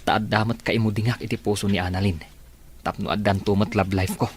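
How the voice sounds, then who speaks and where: A man's voice: lowish voice, fairly even intonation, a little fast, husky. A man playing a teasing, supportive young buddy in an Ilocano audio drama. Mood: teasing, hopeful.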